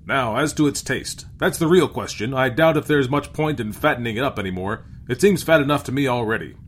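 A man voicing a brash, gruff spaceship captain in a storybook reading, blustering and demanding. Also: mid-range voice, fairly quick, voice pushed up, natural voice. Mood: brisk, appraising.

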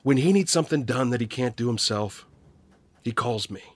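An actor playing a weary, guilt-ridden small-town loner in a naturalistic audio drama, husky and hesitant, confessing haltingly. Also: male voice, mid-range voice, lively intonation, natural voice. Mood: reluctant confessing.

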